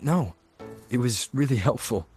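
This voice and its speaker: An actor playing a calm, determined young adventurer in a video game, speaking in an earnest, direct way. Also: male voice, mid-range voice, unhurried, music in the background, natural voice. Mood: reassuring.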